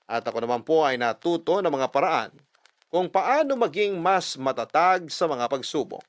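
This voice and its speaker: A man's voice: a little high, no room sound. A man narrating a sentimental first-person love story for radio in an earnest, reflective manner. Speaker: narrator reading a letter sender's love story. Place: dry studio with soft music behind.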